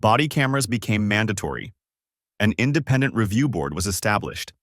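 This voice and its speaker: A man reading a scripted dramatic story in a measured, polished storyteller's delivery. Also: fairly low pitch, very animated, dry recording, studio quality. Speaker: narrator of an online story channel.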